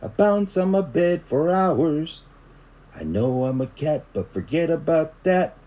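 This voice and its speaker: A man puts on a little boy cat full of excitable bravado for a storybook. The pitch leaps and dips widely as the kitten plays a brave sword fighter with theatrical mock-Spanish flourishes. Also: measured pace. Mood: playful, boastful.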